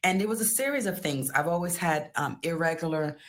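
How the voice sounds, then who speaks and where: A woman's voice: on the low side, expressive, brisk. A woman from the United States telling personal stories in a casual interview as a therapist guest, expressively acting out reactions. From United States, born in New York. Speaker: guest, tantric therapist and psychotherapist.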